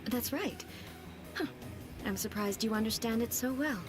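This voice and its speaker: An actress voicing an aloof, commanding female ruler in a video game, speaking in a calm, measured and formal way. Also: on the high side, music in the background, natural voice. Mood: cool, detached.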